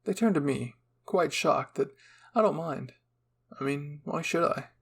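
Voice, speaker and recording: A man narrates a scripted first-person story. His voice sits a little high for a man, with a husky texture and plenty of vocal fry. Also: unhurried.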